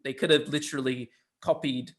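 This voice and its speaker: A man's voice: mid-range voice, fairly even intonation, fairly quick, a bit bright. A man co-hosting a casual film discussion, argumentative and emphatic, with some casual swearing. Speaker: podcast co-host reviewing films.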